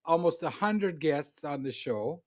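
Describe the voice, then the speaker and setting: A man's voice: a little high, animated, a little slow. A man hosting an interview show, playful and joking as he pushes his guest to talk.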